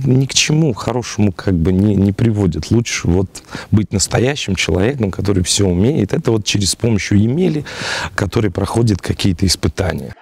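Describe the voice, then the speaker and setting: A man in his thirties, a theatre director, speaking haltingly in a spontaneous television interview. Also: lowish voice, vocal fry, darkish tone. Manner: halting, searching for words. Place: theatre auditorium seats.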